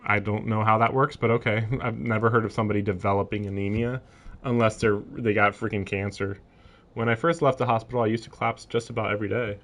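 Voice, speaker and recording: A man's voice: fairly low pitch, fairly even intonation, fast talker, a bit bright. A man livestreaming a visual novel, reading the narration aloud and breaking off into casual, amused commentary.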